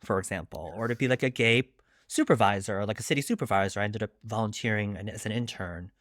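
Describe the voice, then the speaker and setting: A man chatting casually as an interview guest, animated and self-deprecating, often quoting his own thoughts. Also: fairly low pitch, fast talker, dry recording, clean studio sound. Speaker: guest author discussing his debut novel.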